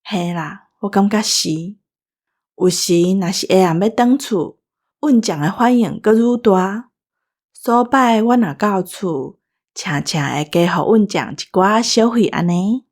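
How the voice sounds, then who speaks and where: Medium-pitched Taiwanese woman hosting. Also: measured pace, darkish tone. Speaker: show host. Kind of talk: conversational anecdote telling.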